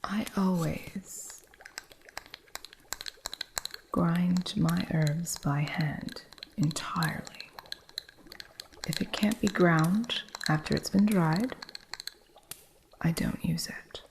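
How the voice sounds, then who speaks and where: Soft-spoken woman, low whispered delivery with a gravelly grain, unhurried, gently instructive with a playful strictness. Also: noisy background. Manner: gentle, teaching, teasingly strict with an apprentice. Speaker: ASMR artist. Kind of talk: whispered ASMR roleplay as a witch shopkeeper.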